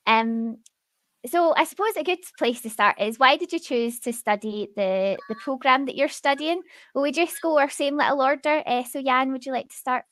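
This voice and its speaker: A woman moderates an online question and answer session. Her voice sits a little high and the sound is dry. She phrases things informally, with some hesitation. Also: moderate pace, fairly bright tone, dry recording. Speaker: host, university staff moderator. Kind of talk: hosting an online student Q and A panel. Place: online video call.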